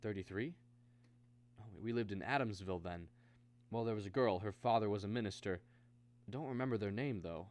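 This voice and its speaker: An actor playing an anxious, insistent man in a radio drama, both narrating and speaking halting, repetitive lines. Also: on the low side, darkish tone, lightly acted. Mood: puzzled. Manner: halting, repeats words when agitated. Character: anxious, insistent man searching for his missing brother. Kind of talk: radio drama acting with first-person narration.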